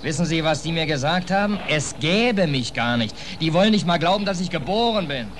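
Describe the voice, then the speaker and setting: An actor in an old radio play, playing a down-and-out stateless American sailor who talks in a colloquial, sardonic grumble. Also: male voice, fairly high pitch, animated, a little fast, fairly bright tone. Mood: bitter, resigned. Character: down-and-out stateless American sailor, stubborn, wry drifter. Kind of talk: 1940s German radio play acting. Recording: noisy old radio recording, harbour ambience.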